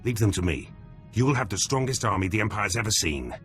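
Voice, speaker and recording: An actor playing a gruff, loyal veteran Imperial soldier in a video game, with terse, confident military phrasing. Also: male voice, on the low side, sing-song, fast talker, a bit bright, faint music behind. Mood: earnest, loyal.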